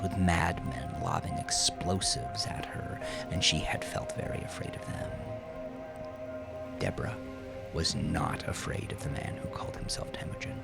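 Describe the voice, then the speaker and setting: A man narrating dark, violent fiction in an intense, theatrical manner that swings between hushed and forceful. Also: deep voice, gravelly.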